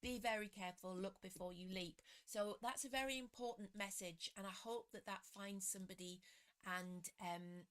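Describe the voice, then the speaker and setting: A woman with a slightly croaky voice, reading tarot cards for a live audience in a chatty, self-deprecating way. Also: mid-range voice, fairly even intonation, moderate pace, a bit bright. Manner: chatty, self-deprecating, greets viewers by name. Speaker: tarot reader hosting a live stream. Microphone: computer webcam setup.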